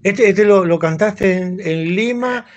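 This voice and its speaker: Male radio host, eager questioner, slightly high voice, dull lo-fi sound. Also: a little slow. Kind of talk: casual radio interview.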